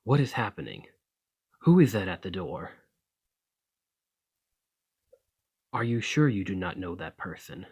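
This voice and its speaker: Low, husky man acting a courteous vampire. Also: animated, moderate pace, exaggerated voice. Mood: worried, soothing. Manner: gentle, formal, old-fashioned phrasing. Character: desperate, courteous, protective male vampire of the 1820s. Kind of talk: ASMR roleplay acting.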